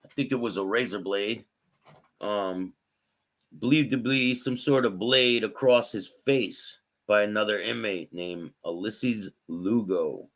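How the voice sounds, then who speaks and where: Male streamer host, raspy, somewhat low-pitched, reads news and greets viewers by name. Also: measured pace, fairly bright tone. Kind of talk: live-stream news commentary and chat with viewers.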